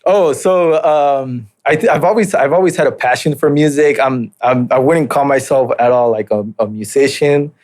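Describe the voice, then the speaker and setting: A young man from Mexico speaking at an ordinary middle pitch, recalling his childhood as a guest on a casual podcast. He talks in a relaxed, unhurried way, often pausing, restarting sentences and filling gaps with small hesitations. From Mexico, raised in southern California and Utah. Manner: hesitant, many fillers and restarts, laid-back. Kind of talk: casual interview storytelling.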